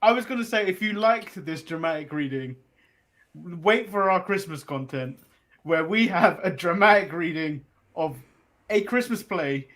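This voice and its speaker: A man's voice: high voice, expressive, measured pace, fairly bright tone. A man co-hosting a podcast in casual, joking banter, repeating phrases for emphasis. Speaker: podcast co-host.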